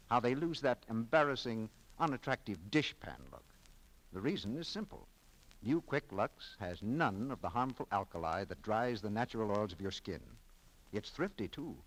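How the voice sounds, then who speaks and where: A man's voice: mid-range voice, poor audio. An American man working as a radio announcer, reading scripted copy in 1940s broadcast style.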